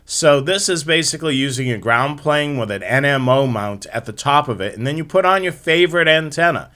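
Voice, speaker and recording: A man's voice: on the high side, animated, fairly quick, bright, forceful tone. A man hosting a live hobby show, explaining things step by step in an informal slideshow presentation.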